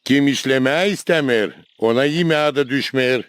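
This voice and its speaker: A man's voice: medium pitch, moderate pace, clear, resonant tone, no echo, natural voice. A man with a Gagauz accent playing a kindly, instructive grandfather in a children's cartoon. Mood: brisk, teasing.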